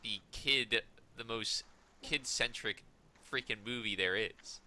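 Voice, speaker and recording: A man's voice: medium pitch, takes it slowly, pushed and bright. A man co-hosting a podcast in casual banter, blunt and opinionated. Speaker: podcast co-host.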